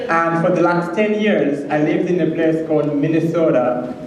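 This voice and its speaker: A man from Rwanda with a Rwandan accent gives an earnest, halting personal testimony as a guest speaker in church. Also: a little high. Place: large church hall, speaking to an audience. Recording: very echoey hall, distant mic, faint noise.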